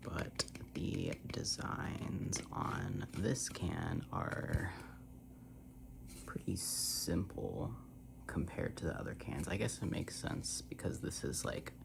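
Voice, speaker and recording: An American ASMR creator rambling softly and casually in a breathy half-whisper, with a self-deprecating manner, close to the microphone. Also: a bass voice, noisy background. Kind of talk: soft-spoken ASMR rambling. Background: tapping and scratching on cans.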